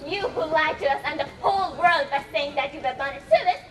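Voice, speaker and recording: A woman playing a scornful, sharp-tongued young daughter in an amateur stage play, declaiming her lines. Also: high-pitched, fairly quick, crowd noise, close to an everyday voice. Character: defiant, scornful young daughter of a troubled household. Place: school stage performance, recorded from a distance. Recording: echoey, noisy hall, distant mic.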